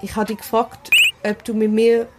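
A woman's voice: on the high side, monotone, normal speed, heavy background noise, lightly acted. A woman with a Swiss German accent voicing a shy, tender bat in a children's story. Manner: bashful.

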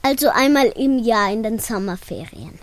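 A woman's voice: fairly high pitch, expressive, normal speed, no echo. A female speaker, informally explaining how a programme works in an interview.

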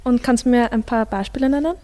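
A woman's voice: a little high, fast talker, mellow. A teenage girl, answering casually and a bit hesitantly on a school radio show. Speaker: student.

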